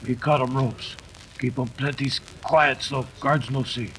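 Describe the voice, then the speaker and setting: A man's voice: mid-range voice, steady tone, a little slow, noisy background, lightly acted. A man playing a terse, watchful sidekick who speaks in clipped, broken phrases in an old-time radio western. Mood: hushed warning. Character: terse, watchful Native sidekick of a masked cowboy.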